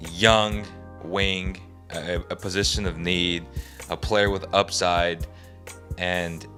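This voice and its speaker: A young man with a very low, raspy voice, hoarse from a lingering cold, hosts a sports podcast. He talks in an emphatic, frustrated way, thinking out loud as he goes. Faint music plays softly behind him. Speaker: podcast host. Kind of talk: sports opinion commentary.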